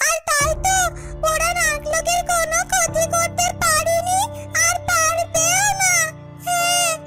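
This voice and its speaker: A performer voicing a small, loyal yellow bird in a fairy-tale cartoon, earnest and given to repeating words. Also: very high-pitched.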